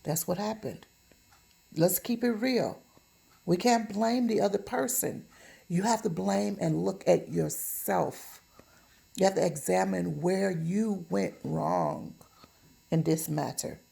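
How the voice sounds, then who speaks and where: A woman's voice: fairly low pitch, takes it slowly, gravelly, warm tone. A woman giving an informal relationship advice monologue, speaking emphatically and bluntly, admonishing and repeating phrases for stress. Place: at home.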